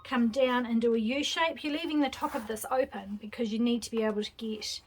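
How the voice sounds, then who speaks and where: A woman's voice: on the high side, a little fast, a little hiss or hum, low-quality recording. Chatty woman demonstrating a craft. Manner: chatty, relaxed, thinking aloud while working. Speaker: craft tutorial host. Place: craft table indoors, hands seen from overhead camera.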